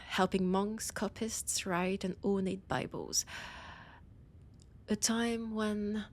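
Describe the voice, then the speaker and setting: Woman playing a slow, whispery French vampire scholar. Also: mid-range voice. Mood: wry, teasing, then reflective. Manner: slow, deliberate, often dropping into breathy whispers. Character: ancient female French vampire scholar, proud, teasing, predatory. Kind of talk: scripted roleplay audio drama monologue.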